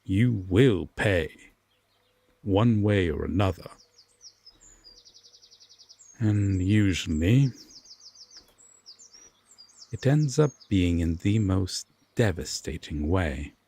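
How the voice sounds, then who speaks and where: A man playing an aloof, stern yet secretly tender Fae king in a roleplay audio drama, speaking formally and deliberately with long pauses. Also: sits low, lively intonation, creaky, mellow, heavily acted. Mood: grave, refusing.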